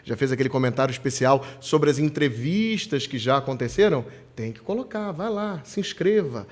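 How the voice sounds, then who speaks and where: A man hosting a school podcast, speaking in an emphatic, lively presenter style. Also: on the high side. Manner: lively, emphatic presenter delivery with drawn-out openings. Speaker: podcast host.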